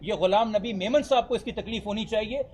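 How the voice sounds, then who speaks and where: Casting: Pakistani male lawyer, high voice, giving a formal, emphatic press statement and fielding reporters' questions. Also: fairly quick, darkish tone, a little hiss or hum. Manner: articulate, formal, emphatic, mixing in English legal terms.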